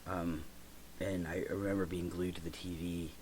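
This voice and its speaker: A man in his forties from the United States, hosting a reflective personal talk, stuffy and congested, speaking haltingly with many fillers and restarts. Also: sits low, flat delivery, darkish tone, slight background noise. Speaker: podcast host.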